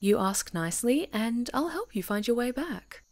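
A woman voicing a friendly young woman camping alone on a retreat, chatty and teasing and quick to laugh, in a scripted audio roleplay. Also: on the high side, very animated, a little mellow. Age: young adult. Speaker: ASMR roleplay performer.

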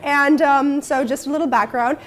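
A woman with a fairly high voice hosts an interview show. She asks her questions in an upbeat, enthusiastic way. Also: fairly even intonation, moderate pace. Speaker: talk show host. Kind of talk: interview hosting. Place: small indoor studio set.

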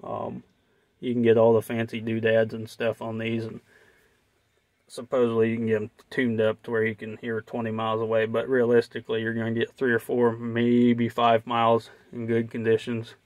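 A man's voice: mid-range voice, completely flat delivery, moderate pace, darkish tone, no echo. An American man giving a casual hands-on tutorial in a folksy, plain-spoken way. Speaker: vlogger and trucker demonstrating gear. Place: inside an old truck cab, later outdoors by it.

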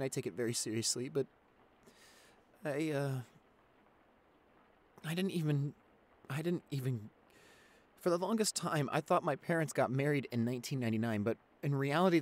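A man's voice: fairly high pitch, lively intonation, moderate pace, lightly acted. A man voicing a shy, anxious, apologetic nineteen-year-old boyfriend in an ASMR roleplay, stammering and hesitant as he pleads. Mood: sheepish.

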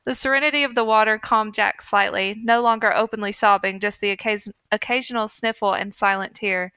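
Woman, podcast co-host, crisp and resonant, bright tone, earnest storytelling delivery, fan fiction reading. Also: medium pitch, steady tone, brisk, dry recording.

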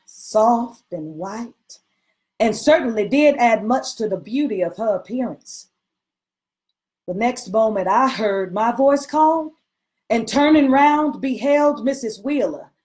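A woman giving an expressive, theatrical reading of a period novel, pausing deliberately between phrases. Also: on the high side. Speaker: reader at a literary event. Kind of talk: expressive dramatic reading of a 19th-century novel. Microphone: video call.

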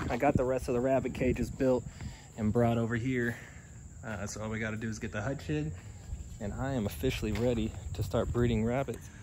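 Chatty young man vlogging outdoors. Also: medium pitch. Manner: relaxed, chatty, self-deprecating. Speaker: homestead vlogger. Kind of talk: casual vlog narration. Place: outdoors on a rural homestead yard. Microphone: phone held at arm's length. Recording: outdoor phone audio with insect noise. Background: crickets and insects.

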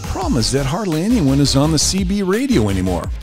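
A man's voice: a little high, wildly swooping pitch, fast, over music. A man hosting a product review channel, reading a scripted review as a voice-over.

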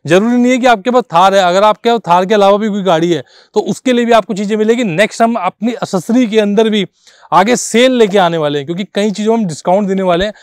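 A man's voice: high-pitched, fast, clean tone, dry recording. A man, giving an informal, conversational product walkaround as a car accessory store presenter, explaining things directly to viewers. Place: outdoors on a dirt lot beside trees.

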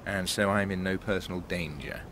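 An English actor playing a haughty, blustering titled villain in a radio drama, speaking formally. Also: male voice, low-pitched, fairly even intonation, a little fast, background noise, lightly acted. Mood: suave, relieved. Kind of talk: BBC radio drama acting.

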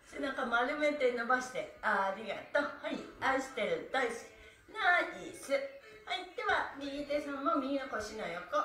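A woman's voice: high-pitched, expressive, unhurried, pushed and bright. A middle-aged woman leading a stretching class, cheerfully chanting counts and instructions from a few meters away in a small indoor room. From Japan. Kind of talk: live-streamed exercise class. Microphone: phone camera a few meters away. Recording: echoey room from a distance.

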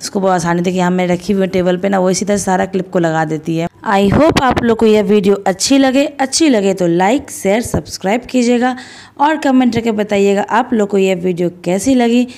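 A woman in her thirties from India, narrating her home chores in a chatty, homely voice-over, still hoarse after a cold. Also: medium pitch, brisk, mellow. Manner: chatty, homely, rambling with fillers. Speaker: vlogger.

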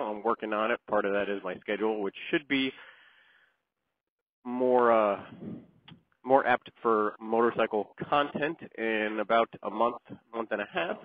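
A man's voice: fairly low pitch, no echo. A middle-aged man riding a motorcycle, chatting casually and joking about himself, with plenty of fillers as he goes. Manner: rambling, joking, lots of fillers. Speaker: motorcycle vlogger. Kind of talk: casual self-deprecating chat while riding. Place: outdoors on a moving motorcycle. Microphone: helmet mic while riding. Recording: tinny muffled helmet mic with road noise.

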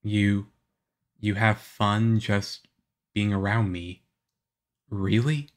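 Man playing a slow-talking, awkward vampire boyfriend. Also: lowish voice, flat delivery. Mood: touched, unsure. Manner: halting, stammering, awkward. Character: shy, nervous teenage-looking vampire boyfriend on a date. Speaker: voice actor in a boyfriend roleplay. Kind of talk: ASMR audio roleplay, one-sided conversation.

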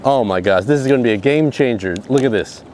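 A man in his thirties vlogging excitedly while fishing from a rocky ocean shore, recorded outdoors on an action camera. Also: mid-range voice, quick pace. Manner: excited, exclaiming. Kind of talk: outdoor vlog narration. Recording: faint surf and wind noise. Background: waves and wind.

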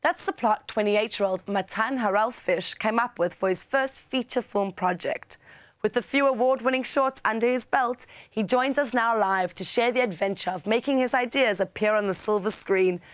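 A young woman hosting a news magazine show, reading a script briskly with wry asides in a studio. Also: fairly high pitch, dry recording. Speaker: show host. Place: studio with blue screen.

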